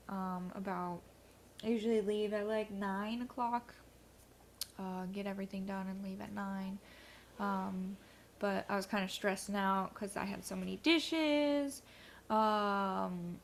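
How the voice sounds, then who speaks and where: Slow, hesitant woman, stuffy. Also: medium pitch, a little hiss or hum. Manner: hesitant, many ums and likes. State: stuffy from allergies. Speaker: vlogcast co-host. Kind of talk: rambling casual chat.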